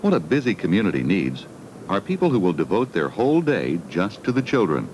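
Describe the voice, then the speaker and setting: Male narrator for a 1980 school film: low voice, very varied melody, simple explaining and questioning aimed at kids. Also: wildly swooping pitch, fairly quick, a little mellow, slight background noise, low-quality recording. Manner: addresses children with simple questions. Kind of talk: scripted educational film narration. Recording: 1980 16mm film soundtrack.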